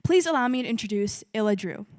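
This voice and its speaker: A woman's voice: on the high side, animated, fast, a little mellow, no room sound, studio quality. A woman emceeing an event, reading polished scripted introductions of speakers.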